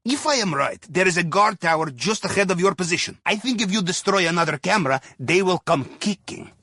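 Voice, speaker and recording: A man's voice: high voice, lively intonation, fairly quick, bright, forceful tone, husky, no room sound, studio quality, heavily acted. A man playing a jovial, wry Russian submarine captain in a video game, with a Russian accent and a playful, theatrical way of guiding his crew. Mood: wry, instructive. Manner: playful, theatrical, drops articles, tags sentences with questioning "yes?" or "huh?". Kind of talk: video game mission dialogue over comms.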